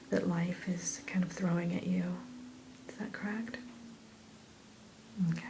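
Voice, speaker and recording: A woman playing a kind, reassuring therapist in a whispered ASMR roleplay, speaking gently and attentively without hurry. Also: on the low side, creaky, a little hiss or hum, rough recording. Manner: soothing, gentle, attentive, unhurried. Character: kind, reassuring female therapist welcoming a new patient.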